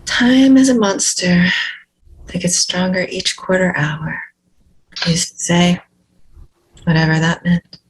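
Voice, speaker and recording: A woman's voice: fairly low pitch, fairly even intonation, slow pace, pauses often, breathy edge, poor audio. A woman playing a guarded, uneasy heiress in a read-through of a horror film script. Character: guarded, uneasy woman heir showing off a family secret.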